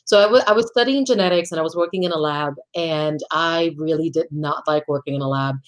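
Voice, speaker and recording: A woman's voice: sits low, brisk. A middle-aged woman from Puerto Rico, a guest architect, answering interview questions in a thoughtful, conversational way, often restarting phrases and laughing between thoughts.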